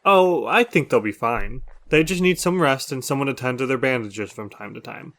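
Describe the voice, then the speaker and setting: A man's voice: medium pitch, normal speed, fairly bright tone, natural voice. A man playing a chatty, upbeat survivor who runs a radio show in an audio drama, talking casually and teasingly. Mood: reassuring.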